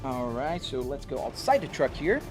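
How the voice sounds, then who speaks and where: A man's voice: mid-range voice, very animated, moderate pace. Chatty Brazilian man streaming with music behind. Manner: chatty, reads chat aloud and answers it. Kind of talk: live-stream chat while gaming. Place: home sim rig room.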